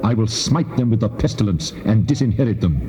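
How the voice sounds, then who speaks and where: A man's voice: fairly low pitch, animated, a little fast, dark tone, close to an everyday voice. A man playing a wrathful, majestic God in a dramatized scripture recording, speaking in solemn declamation. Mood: stern, wrathful. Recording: muffled, long cavernous reverb.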